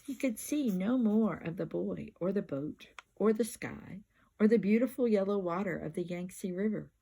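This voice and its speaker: An older woman reading a children's picture book aloud like a grandmother, with expressive, drawn-out words and emphatic repetition. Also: medium pitch, creaky, breathy edge, rough recording.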